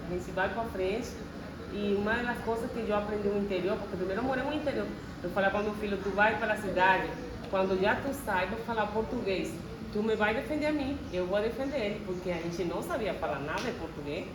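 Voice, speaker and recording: A woman's voice: mid-range voice, fairly quick. Venezuelan woman speaking earnest Portuguese. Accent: Venezuelan Spanish. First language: Spanish. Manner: earnest, mixes Spanish words into Portuguese. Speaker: adult learner, parent. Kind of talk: casual group talk. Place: school learning space. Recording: roomy and distant with background noise.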